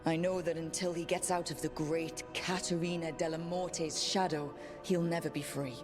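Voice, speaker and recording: A woman's voice: low-pitched, steady tone, moderate pace, over music, lightly acted. A woman playing a steadfast, earnest, determined heroine in dramatic video game dialogue. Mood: firm, challenging.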